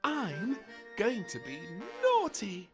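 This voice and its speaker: Man putting on a little girl princess, very high, cheeky, bossy, gleeful, swooping, storybook pirate play. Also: wildly swooping pitch, takes it slowly, music in the background, exaggerated voice. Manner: exclaiming, gleeful, childlike. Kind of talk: children's storybook character voice.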